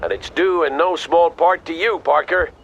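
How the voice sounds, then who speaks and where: A man playing a stern, authoritative army colonel in a military video game, with a gravelly, clipped delivery. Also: on the high side, moderate pace, muffled recording, a little hiss or hum. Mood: relieved, proud. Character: commanding, stern middle-aged army colonel. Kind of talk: dramatic military game cutscene. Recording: music behind the voice.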